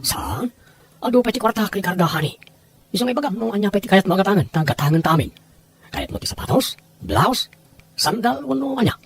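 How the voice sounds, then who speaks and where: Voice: medium pitch, animated, fast talker, pauses often, husky, no echo. A performer playing a teasing, coaxing adult man in an audio drama, in a conversational manner. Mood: sweet, doting. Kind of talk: Ilocano audio drama dialogue.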